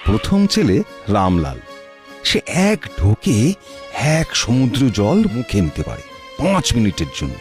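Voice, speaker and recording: A man narrating a folk-tale cartoon in a deliberate, expressive storytelling manner. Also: mid-range voice. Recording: music behind the voice. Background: background music.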